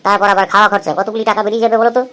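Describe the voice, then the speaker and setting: Casting: male actor as thirties husband for comedy cartoon, quick delivery, chatty, thrifty, coaxing and teasing. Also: high voice, little pitch movement, pushed and bright. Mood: exasperated. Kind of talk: amateur comedy cartoon dialogue.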